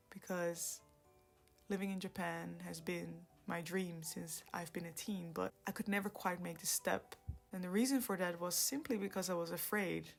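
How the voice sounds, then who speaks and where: A woman from the Netherlands in her thirties, telling her personal story in a soft-spoken, reflective way, laughing often and sometimes trailing into a whisper. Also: on the low side, creaky. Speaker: vlogger. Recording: light background music.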